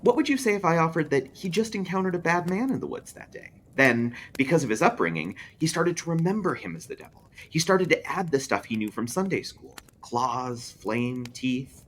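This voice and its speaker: A man's voice: fairly high pitch, sing-song, moderate pace, few pauses, a bit bright, slight background noise, pitched above the performer's own voice. A man voicing an eager, professorial book club leader who coaxes debate in a read-aloud story.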